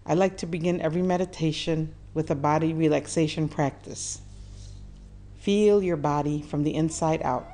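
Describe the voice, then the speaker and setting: A woman leading a guided meditation, giving calm instructions in a soothing, measured manner with deliberate pauses. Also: low voice.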